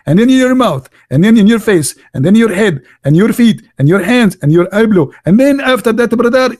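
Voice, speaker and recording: A man's voice: fairly high pitch, a little mellow. A middle-aged man hosting an online show, giving sarcastic, mocking commentary in non-native English with many restarts.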